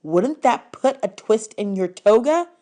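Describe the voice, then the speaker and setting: A woman's voice: a little high, expressive, a little slow, warm tone. A woman voicing a hero's cautious, anxious male companion in a storybook, earnest and explaining. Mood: earnest reasoning.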